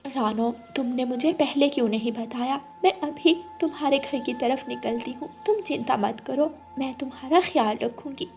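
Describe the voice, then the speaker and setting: A woman's voice: high-pitched, normal speed, hardly any pauses, a little mellow. A woman voicing a caring grown-up female bird in a cartoon, soothing and reassuring in manner. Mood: concerned. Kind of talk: Hindi cartoon character acting.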